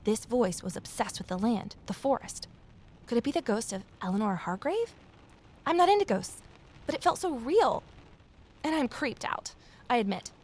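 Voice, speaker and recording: A woman's voice: a little high, lively intonation, moderate pace, a little mellow. An actress playing a guarded, lonely amateur sleuth who narrates her own mystery in an introspective audio drama style. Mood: spooked, puzzled.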